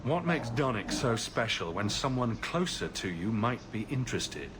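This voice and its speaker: An actor playing a confident, wry and blunt fantasy hero in a video game. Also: male voice, mid-range voice, very animated, normal speed, crowd noise, lightly acted. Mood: wry, probing. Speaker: game character.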